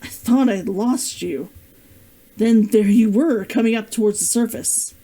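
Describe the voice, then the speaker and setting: A woman voicing a guarded, gruff male adventurer in a fantasy storybook, speaking tersely and earnestly. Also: on the high side, unhurried, dark tone.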